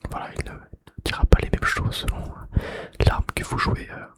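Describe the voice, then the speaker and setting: Man whispering ASMR. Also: moderate pace. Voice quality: breathy, unvoiced whisper with mouth sounds. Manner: repeats phrases softly over and over. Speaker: ASMR video creator and host.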